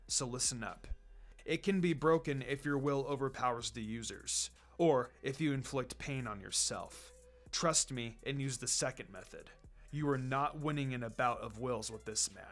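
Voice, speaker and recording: A man's voice: mid-range voice, a bit bright, soft background music, pitched below the performer's own voice, lightly acted. Man voicing a commanding ninja team leader. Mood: calm, reassuring. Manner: commanding, measured. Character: stern, protective male ninja team leader.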